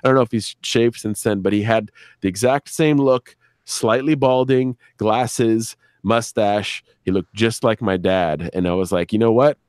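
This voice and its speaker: A man's voice: lowish voice, unhurried, a little mellow, clean tone, dry recording, clean studio sound. A man talking casually and profanely on a live stream as an audio drama creator, telling rambling anecdotes and acting out what people said. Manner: profane, rambling, acts out quotes. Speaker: audio drama creator and director, interview guest.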